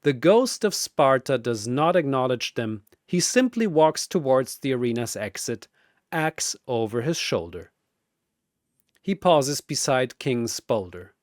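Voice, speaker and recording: A man narrating a scripted fantasy battle story in a theatrical, deliberate, dramatic style. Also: mid-range voice, big pitch swings, no echo, studio quality.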